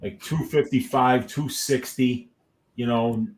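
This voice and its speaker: A man's voice: medium pitch, fairly even intonation, slow. Laid-back man chatting fight talk. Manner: laid-back, conversational, chuckling. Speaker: podcast co-host. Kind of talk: casual sports watch-along chat.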